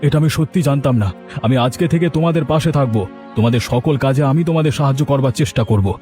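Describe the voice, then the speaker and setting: A man's voice: mid-range voice, fast talker, warm tone, faint music behind, natural voice. A man voicing an earnest, repentant village man in a cartoon, emphatic and resolute. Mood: regretful, resolute. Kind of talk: cartoon dialogue.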